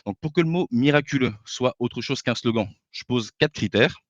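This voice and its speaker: A man's voice: mid-range voice, moderate pace, no room sound. A man giving a formal debate opening statement, laying out his points methodically but hesitating often with fillers. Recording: dull dry lo-fi voice chat mic.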